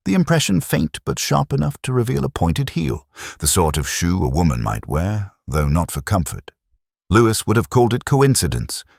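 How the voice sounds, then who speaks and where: Man acting as a brooding detective narrating a mystery, super low voice, measured but swoops around a lot, dry close sound. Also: no echo, exaggerated voice. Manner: measured, brooding, literary delivery. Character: melancholy, reflective older male police detective recounting a case. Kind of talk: scripted first-person mystery narration.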